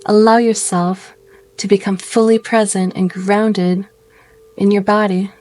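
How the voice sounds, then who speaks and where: A woman guiding a meditation in a gentle, soothing way, speaking in slow phrases with pauses. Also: mid-range voice, little pitch movement, dry recording. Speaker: meditation guide. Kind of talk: guided meditation narration.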